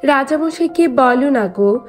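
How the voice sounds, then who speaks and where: A woman's voice: high-pitched, lively intonation, unhurried, faint music behind. A woman voicing a tired, complaining village potter's wife in a cartoon, sighing and plaintive. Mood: weary, plaintive.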